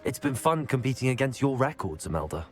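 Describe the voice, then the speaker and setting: A man's voice: medium pitch, sing-song, brisk, soft background music, natural voice. A man playing a brave, polite teenage wizard hero in a video game, with an earnest manner. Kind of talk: video game character dialogue.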